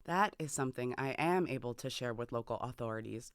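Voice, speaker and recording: Very deep-voiced guarded federal investigator. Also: female voice, animated, fairly quick, clean tone, dry recording, studio quality. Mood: formal. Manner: clipped, formal, withholding. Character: guarded, unyielding female federal medical investigator. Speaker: actor in an audio drama. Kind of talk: dramatic podcast acting.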